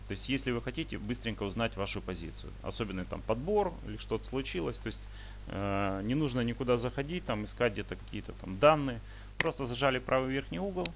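A man giving an unscripted software tutorial, explaining step by step in a halting way over a screen recording. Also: mid-range voice, expressive. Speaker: instructor demonstrating paragliding flight software. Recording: clearly noisy home screencast with clicks.

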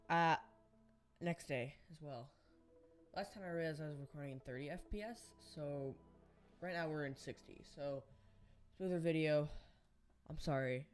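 A man's voice: on the high side, fairly bright tone. A young man casually commenting on his own gameplay, thinking aloud and rambling as he builds. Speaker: gaming channel host.

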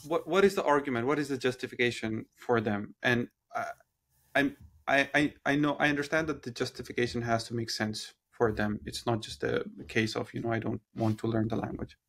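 A man at mid pitch hosts a podcast over a video call from home. He probes with long questions, rephrasing and circling back as he works out what to ask, in a casual, searching way. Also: fairly even intonation, a little fast, a bit bright. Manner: probing, rephrases questions as he goes. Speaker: podcast host. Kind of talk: interview questions. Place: room at home, indoors. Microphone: laptop on a video call.

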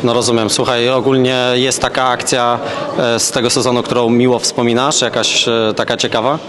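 A man in his thirties asks questions in an even, middle-pitched voice that stays quite level. He talks casually and halts often on filler sounds. A clear murmur of crowd chatter runs behind him at an indoor event. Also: fairly quick. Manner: relaxed, hesitant with frequent "e" fillers. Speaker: interviewer. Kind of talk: casual interview. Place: indoor event set with curtains. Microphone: handheld microphone.

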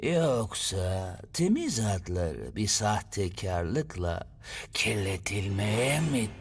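A theatrical cartoon announcer delivers melodramatic lines. The pace is drawn out and the pitch leaps about dramatically. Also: sing-song, slow, slightly rough, heavily acted. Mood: mock outrage. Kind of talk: melodramatic cartoon dub narration.

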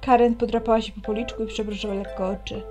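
Female game narrator, highish voice, teasing commentary, clear music behind. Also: little pitch movement, fast talker, highs cut off. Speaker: let's player reading a visual novel aloud. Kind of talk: expressive reading aloud with commentary.

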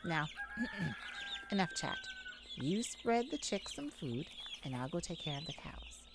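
Woman playing a shy, slow-talking elf farmer. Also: lowish voice, wildly swooping pitch, heavily acted. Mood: warm, friendly. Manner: halting, polite, nervous little laughs. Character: shy, gentle elf farmer, sweet and easily flustered. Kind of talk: ASMR roleplay. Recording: clear background noise, farm chicken ambience. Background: farm ambience with chickens and rooster.

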